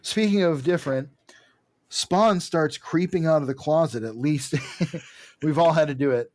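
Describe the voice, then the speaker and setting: A man's voice: fairly high pitch, measured pace, a little mellow, dry recording. A man co-hosting a podcast, casually recapping comics in an irreverent, profane, jokey way. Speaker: podcast co-host.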